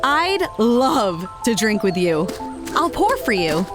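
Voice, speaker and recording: A woman acting an excitable, high-voiced female fighter. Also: slow, music in the background. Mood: chatty. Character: upbeat, friendly woman fighter, excitable and warm. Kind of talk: audio drama dialogue.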